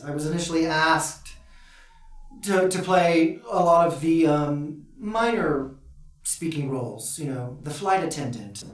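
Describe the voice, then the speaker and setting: A woman's voice: sits low, a little slow, recorded from a distance. A woman, a voice actor, talking casually in an interview in an echoey room, away from the mic.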